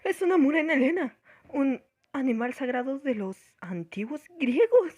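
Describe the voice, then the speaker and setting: A woman's voice: high voice, very animated, moderate pace, darkish tone. A woman playing a strict, pedantic governess in a radio drama, with formal, stern delivery. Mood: lecturing. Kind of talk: scripted radio drama acting.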